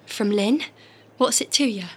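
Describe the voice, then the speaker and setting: Young female streetwalker, high voice, audio drama, hurt, guarded, defiant, colloquial. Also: measured pace, fairly bright tone, breathy edge. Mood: defensive. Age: young adult.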